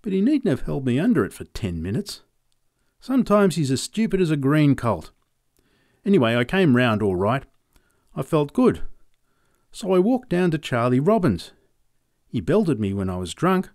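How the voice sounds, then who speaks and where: Gruff farmer, blunt and boastful, swears freely, voice lifted a little as a male narrator puts him on, dry close sound. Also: fairly high pitch, big pitch swings, moderate pace, long pauses, a little mellow, dry recording, voice pushed up. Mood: grumbling. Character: rough, hard-drinking adult male farmer, blunt and boastful.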